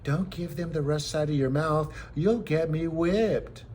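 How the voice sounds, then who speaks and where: A man's voice: fairly high pitch, unhurried, a little mellow, slight background noise, pitched above the performer's own voice, natural voice. A man voicing a spoiled, stubborn young prince in a storybook, harsh, angry and petulant. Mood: urgent, harsh.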